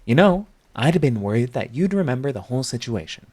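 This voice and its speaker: A man acting a sardonic supervillain secretly devoted to his rival, in an intimate, theatrical audio roleplay monologue with halting confessions. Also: mid-range voice, sing-song, a little mellow, husky. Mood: cornered, defensive.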